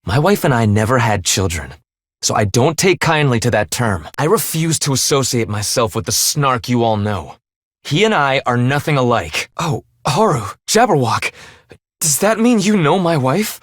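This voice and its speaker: An actor playing an earnest, remorseful, devoted husband in a dramatic video game, with formal, measured, solemn phrasing. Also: male voice, mid-range voice, big pitch swings, no echo, clean studio sound. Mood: calm, formal.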